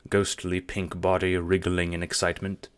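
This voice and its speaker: A man's voice: low-pitched, monotone, normal speed, smooth. A man narrating a scripted story in the second person, in the style of an audio drama or audiobook. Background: music.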